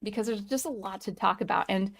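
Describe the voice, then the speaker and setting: A woman hosts a live stream in a loose, chatty way, greeting viewers by name and restarting her sentences often. Her voice sounds a little strained and sits at a middle pitch. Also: fairly quick, creaky. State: voice slightly strained. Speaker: livestream host. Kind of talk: casual live-chat streaming.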